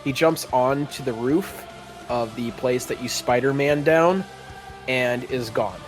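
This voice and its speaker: A man's voice: mid-range voice, a little slow, faint music behind. A man narrating and speaking in character during a casual tabletop role-playing podcast.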